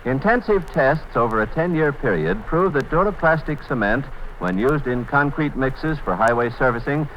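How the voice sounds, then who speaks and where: A man announcing for the sponsor, reading a commercial in an earnest, steady manner. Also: medium pitch, moderate pace, slight background noise. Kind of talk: read commercial. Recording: dull lo-fi 1950s radio broadcast.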